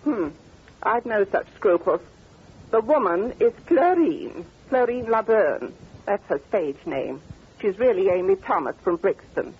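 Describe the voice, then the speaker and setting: An old lo-fi radio recording of a sardonic British duchess who once trod the music-hall stage. Her husky voice moves freely up and down as she speaks with imperious, theatrical assurance and mocking amusement. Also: medium pitch, animated, measured pace. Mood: scornful, sure. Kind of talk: old-time radio drama acting.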